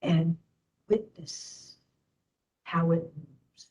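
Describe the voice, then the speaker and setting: Lady doing guided meditation, really slow n gentle, mellow voice, lots of pauses. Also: fairly low pitch. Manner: gentle, unhurried with long pauses. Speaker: meditation and yoga instructor.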